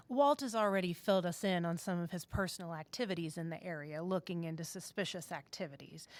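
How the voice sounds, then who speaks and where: A woman playing a composed, firm office boss in an audio drama, speaking in a measured, diplomatic way. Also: lowish voice, dry recording.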